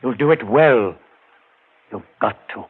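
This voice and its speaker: A man's voice: medium pitch, animated, unhurried, heavy background noise, close to an everyday voice. An actor playing a determined man set on vengeance, giving firm, urgent orders in a vintage radio drama. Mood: urgent, commanding. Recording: muffled, phone-like old radio recording.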